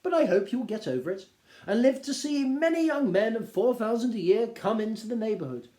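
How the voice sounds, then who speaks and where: A man voicing a wry, teasing middle-aged husband, measured and mock-polite, reading from a classic novel. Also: high voice, expressive, mellow, pitched above the performer's own voice. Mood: mock-soothing. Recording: roomy, echoing indoor sound.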